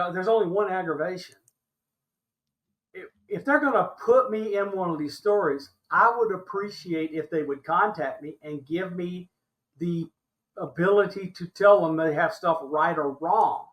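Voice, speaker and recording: Interview guest: American man around seventy, forceful high voice, stresses points by repeating them. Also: animated, moderate pace, poor audio. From Alabama, United States. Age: older, about 70. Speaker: interview guest recounting his experiences. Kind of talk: animated conversational argument.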